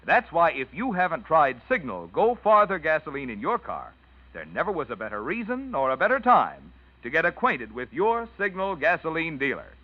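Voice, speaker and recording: A man's voice: a little high, huge pitch swings, normal speed, no room sound. A man announcing a 1940s radio commercial in a folksy, persuasive manner. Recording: dull, dry vintage radio recording.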